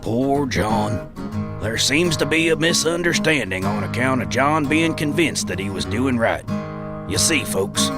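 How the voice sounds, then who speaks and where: A sympathetic, folksy narrator, performed by a man telling an audio drama straight to the listener. His voice moves expressively and sits fairly high. Loud guitar music plays behind his wry storytelling. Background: plucked guitar music.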